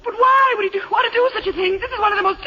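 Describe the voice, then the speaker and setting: An actress playing a vain, catty, glamorous stage star with affected, theatrical endearments in a 1950s radio comedy. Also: female voice, very high-pitched, rapid-fire, long reverb tail, a little hiss or hum. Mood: outraged. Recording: muffled vintage radio recording.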